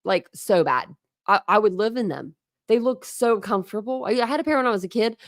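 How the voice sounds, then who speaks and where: A woman's voice: mid-range voice, animated, a little fast, no room sound. Chatty American woman livestreaming, dry close sound. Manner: slangy, chatty, mild cursing. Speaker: true crime livestream host. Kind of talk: casual live chat.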